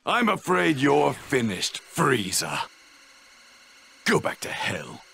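A man voicing a proud, ruthless warrior in a video game, with a gravelly, raspy voice. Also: mid-range voice, wildly swooping pitch, takes it slowly, bright, forceful tone, slight background noise, heavily acted. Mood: menacing. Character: proud, menacing, ruthless male alien warrior.

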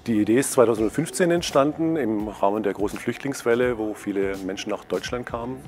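Measured middle-aged man explaining. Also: lowish voice, faint music behind. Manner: measured, explanatory, some fillers. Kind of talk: interview statement.

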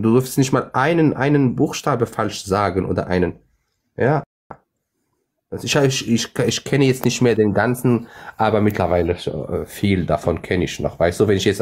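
A self-assured middle-aged man whose first language is Arabic talks over a phone mic. His voice sits a little low, and he keeps pressing his point and repeating himself. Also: darkish tone. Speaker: livestream host. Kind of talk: live stream debate chat.

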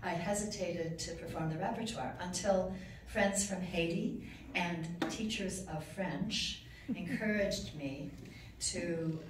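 Woman, acceptance speech, lowish voice, echoey room, distant camera. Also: slow pace, few pauses, noisy background. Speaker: award recipient giving acceptance speech. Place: ornate reception room at a consulate. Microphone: podium mic, recorded from far off.

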